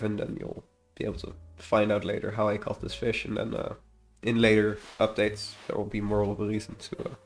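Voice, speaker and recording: Guy w a bit of a stuffy nose doing a devlog, rambly and unsure, trails off a lot, music playing behind him. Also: lowish voice. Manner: rambling, hesitant, trailing off mid-thought. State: slightly congested from a cold. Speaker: indie game developer presenting a devlog. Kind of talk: casual unscripted screen-recording commentary.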